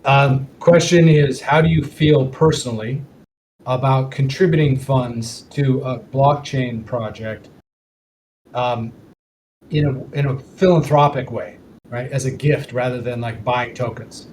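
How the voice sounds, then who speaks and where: Man, meeting facilitator, casual and halting, mid pitch, online call, background noise. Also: steady tone, a little mellow, rough recording. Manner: halting, thinking aloud. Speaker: working group meeting facilitator.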